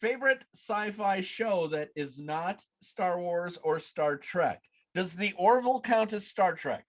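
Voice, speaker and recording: High-pitched male podcast host. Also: lively intonation, measured pace. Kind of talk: casual chat, quick-fire questions. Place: home room, on a video call. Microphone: video call.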